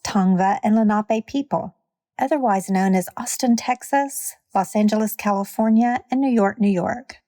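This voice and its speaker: A woman reads a scripted announcement in a soft-edged, mellow tone. Her pitch is medium and the sound is very dry. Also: moderate pace, dry recording. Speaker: podcast announcer.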